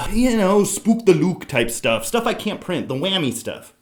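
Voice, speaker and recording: A man playing a gruff, evasive police detective in an audio drama, talking in clipped, slangy noir style. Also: sits high, big pitch swings, measured pace, heavily acted. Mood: evasive, edgy.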